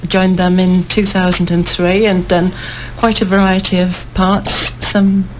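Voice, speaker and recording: A woman's voice: lowish voice, flat delivery, unhurried. A middle-aged woman chatting hesitantly as a guest in a casual radio interview. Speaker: interview guest, amateur actor. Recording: dull, clearly noisy recording.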